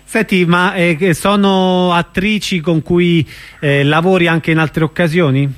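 Male radio interviewer, slightly high voice, informal and hesitant in a casual conversation. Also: steady tone, a little slow. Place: radio studio.

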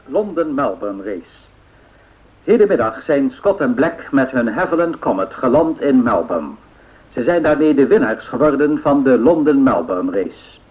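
An actor playing a 1930s radio newsreader, reading bulletins in a formal, measured way in a radio drama. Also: male voice, mid-range voice, very animated. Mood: formal, factual. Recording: tinny, narrow-band radio sound.

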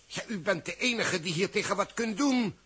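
A man's voice: sits high, animated, normal speed, bright, forceful tone, breathy edge, heavily acted. An actor playing a worried, earnest male engineer in a 1960s radio play, speaking pressingly. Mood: urgent, pleading. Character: urgent male engineer, worried father of a crew member. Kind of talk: 1960s Dutch science fiction radio play acting.

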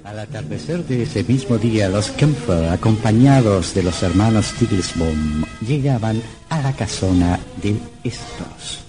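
Solemn radio drama narrator, lowish voice, music behind. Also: male voice, animated, unhurried, few pauses. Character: grave storyteller narrator of horror drama. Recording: old radio recording with music bed.

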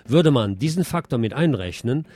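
A man's voice: medium pitch, expressive, normal speed, dark tone, slightly rough. A man presenting radio news from a script, reading reports and adding wry asides.

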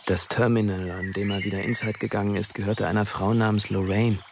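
An actor playing a shaken, subdued investigator in naturalistic crime radio drama dialogue, talking matter-of-factly. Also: male voice, fairly low pitch, little pitch movement, a little fast, gravelly, noisy background. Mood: troubled, subdued. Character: shaken male colleague of a dead policeman.

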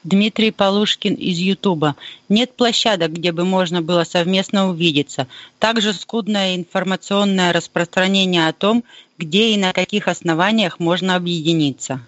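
A woman co-hosting a live radio show who reads listener questions out in a brisk, matter-of-fact way. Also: lowish voice, no echo, muffled recording. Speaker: co-host reading listener questions.

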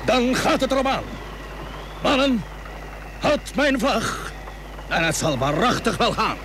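An actor playing a resolute seventeenth-century Dutch naval commander in a radio play, earnest and commanding, with solemn, old-fashioned formal wording. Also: male voice, very high voice, big pitch swings, takes it slowly, exaggerated voice. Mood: rousing. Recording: noisy old radio drama recording.

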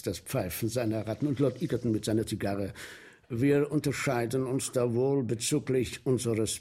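A husky, swooping macabre showman. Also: medium pitch, a little mellow. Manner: savoring, theatrical storytelling with mimicry. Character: gleeful, philosophizing male sewer inspector turned macabre showman. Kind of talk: 1970s German radio play acting.

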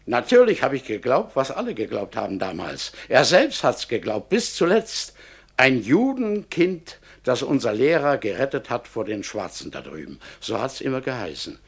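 A middle-aged innkeeper giving testimony, self-justifying and insistent. His voice is gravelly and husky, and his pitch rises and falls a great deal. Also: big pitch swings, normal speed, heavily acted. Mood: defensive. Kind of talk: radio drama monologue.

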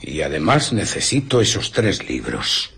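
A man's voice: sits low, very animated, unhurried, gravelly, fairly bright tone, husky, exaggerated voice. An actor playing a menacing invisible man in a radio drama, cold, commanding and mockingly polite. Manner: threatening, commanding, mock-polite.

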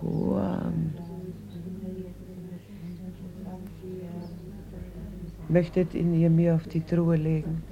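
A woman's voice: low voice, flat delivery, a little slow, vocal fry, lo-fi sound, crowd noise, full character voice. An actress playing a grieving, embittered fisherwoman mother in a radio play, speaking in a grave, stark manner. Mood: stunned grief. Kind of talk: German radio play acting.

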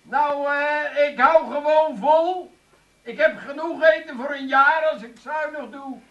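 An actor playing a stubborn, pompous, offended brother-in-law in a radio comedy. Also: male voice, very high-pitched, fairly even intonation, measured pace, fairly bright tone, lo-fi sound, exaggerated voice. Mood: defiant. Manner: defiant, pompous. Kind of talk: 1980s radio comedy acting.

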